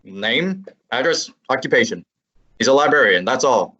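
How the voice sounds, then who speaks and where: A cocky young assistant needles his boss and narrates the story in an old-time radio drama, as a performer plays him. His mid-range voice is a little husky and breezy. The recording sounds somewhat dull and lo-fi. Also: mid-range voice, expressive, moderate pace, pushed and bright. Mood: needling. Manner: brash, teasing, breezy.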